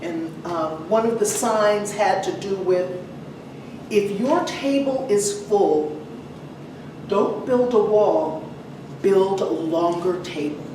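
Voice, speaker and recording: A woman preaching a sermon to a congregation in a conversational way, putting questions to her listeners and pausing for emphasis. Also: mid-range voice, expressive. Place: large echoey church room. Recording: very echoey, distant mic, some noise.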